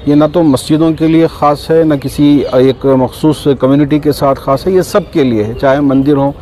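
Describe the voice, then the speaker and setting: A man speaking calmly to reporters as a community spokesman, reassuring and unhurried, with small fillers. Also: fairly high pitch, a little hiss or hum. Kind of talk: press statement.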